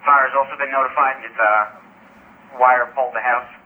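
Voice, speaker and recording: Police dispatcher, male, mid-pitched. Relays incident reports on thin scanner radio. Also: steady tone, moderate pace. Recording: tinny, narrow-band police scanner radio.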